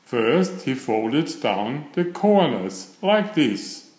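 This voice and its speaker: A man reading a children's story aloud in a deliberate, expressive storytelling manner, with a roomy sound. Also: mid-range voice. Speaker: storybook narrator. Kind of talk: children's read-aloud narration.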